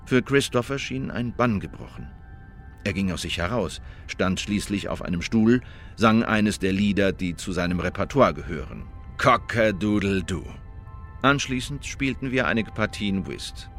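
An actor playing a male eyewitness who recounts a killing in a formal, measured, insistent manner in a scripted radio play. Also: deep voice, fairly bright tone. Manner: formal, measured, precise testimony. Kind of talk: scripted first-person radio drama narration.

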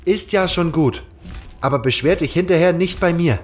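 A man's voice: a little high, normal speed, voice pushed up, natural voice. A man voicing a jittery, grumbling novice and reluctant sidekick in a fantasy audio drama, whiny and sarcastic. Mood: sarcastic, grudging.

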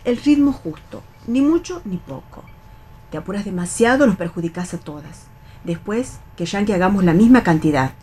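A middle-aged Argentine actress playing a bossy, resigned, wary factory worker of nearly sixty in a radio theatre reading, instructing and admonishing colloquially. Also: female voice, medium pitch, expressive, moderate pace, warm tone, a little hiss or hum, lightly acted. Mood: world-weary warning.

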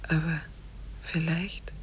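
An actress playing a mysterious young village girl, wistful yet lively, in a 1950s German radio drama. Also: low voice, slow pace, a little hiss or hum, low-quality recording. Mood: sorrowful, hushed.

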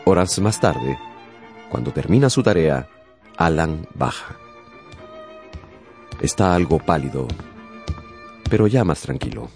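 A man narrating a radio novel dramatically, with deliberate, suspenseful phrasing. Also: rumbling low, big pitch swings, a little mellow, soft background music.

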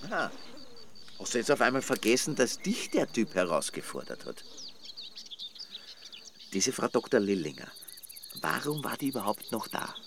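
An actor playing a sardonic, nosy amateur detective in a radio play, speaking dryly and colloquially. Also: male voice, medium pitch, very animated, moderate pace, creaky, pauses often, fairly bright tone, a little hiss or hum. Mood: inquisitive. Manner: dry, sarcastic, colloquial. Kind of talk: naturalistic radio play dialogue. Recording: outdoor ambience with birds and insects.

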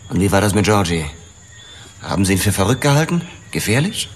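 An actor playing a hard, bitter police detective in a radio drama, questioning in a confrontational, demanding way. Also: male voice, fairly low pitch, big pitch swings, brisk, big-room sound, slight background noise.

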